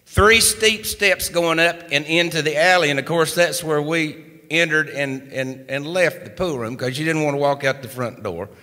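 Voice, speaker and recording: A man from East Tennessee with an East Tennessee accent, spinning a funny story in an unhurried folksy drawl as a singer-songwriter and storyteller on a live radio stage. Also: fairly high pitch, bright, forceful tone, long reverb tail. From East Tennessee, USA.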